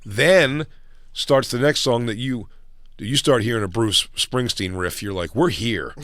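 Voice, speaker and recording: A man co-hosting a podcast in casual, rambling chat, swearing often and getting loud when animated. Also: medium pitch, unhurried. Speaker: podcast co-host.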